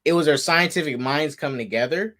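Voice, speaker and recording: A man's voice: fairly high pitch, a little fast, crowd noise. A man in a casual film debate who teases the others with jokey nicknames. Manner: teasing, jokey interjections. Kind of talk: casual movie review debate.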